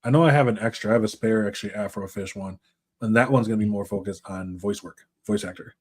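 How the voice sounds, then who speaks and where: Podcast host, man, lowish voice, loose and chatty, quick to laugh. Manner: relaxed, laughs easily, rambling. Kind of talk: casual podcast chat.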